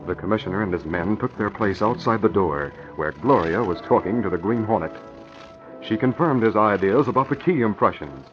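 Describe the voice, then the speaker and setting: A man's voice: on the low side, expressive, a little fast. A man narrating an old radio adventure drama in a scripted, dramatic style. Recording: muffled old radio recording. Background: music.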